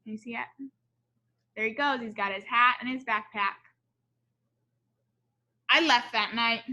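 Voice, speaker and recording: A woman with a high, very bright voice reads a children's story aloud. She takes her time and speaks expressively, in the explanatory manner of a teacher checking in with young listeners. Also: unhurried. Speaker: teacher reading a picture book aloud to children.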